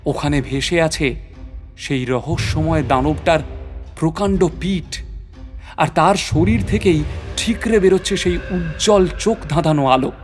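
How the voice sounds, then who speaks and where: A man plays an adventurer telling his own sea story in an audio drama. His slightly high male voice carries suspenseful, literary narration over a clear music bed. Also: close to an everyday voice.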